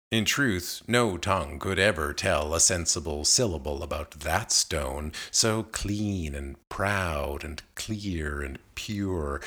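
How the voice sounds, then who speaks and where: A man reading a narrative poem aloud as a scripted literary recitation, in a measured and carefully enunciated manner. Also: low-pitched, sharp tone, slightly rough. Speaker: poetry reader.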